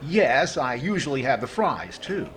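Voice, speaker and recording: An actor playing a vain, stingy middle-aged comedian in old radio comedy style, with deliberate pauses and indignant questions. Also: male voice, mid-range voice, big pitch swings. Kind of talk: 1950s radio comedy acting. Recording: live crowd, noisy audio with clicks.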